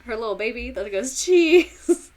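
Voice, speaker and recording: A woman's voice: fairly high pitch, animated, a little slow, darkish tone. A young woman teacher with a Southern US accent, giving a rambling, chatty history lesson on a livestream, full of fillers and laughs.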